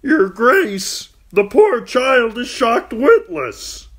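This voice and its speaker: A red-faced drunken fool of the court blusters in a very high, shrill voice, as a male reader puts him on. He sounds foolish and worked up, with a husky crack. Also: very animated, drawn-out words, a bit bright, full character voice. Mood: blustering.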